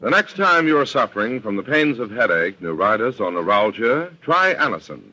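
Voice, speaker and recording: A man's voice: medium pitch, big pitch swings, normal speed, sharp tone, faint music behind, poor audio. An American man announcing and reading adverts in a 1940s radio style, on a vintage broadcast recording.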